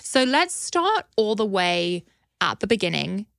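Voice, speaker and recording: A woman's voice: mid-range voice, sing-song, unhurried, gravelly, fairly bright tone, dry recording, studio quality. A woman hosting a casual podcast interview, upbeat and gushing. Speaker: podcast host.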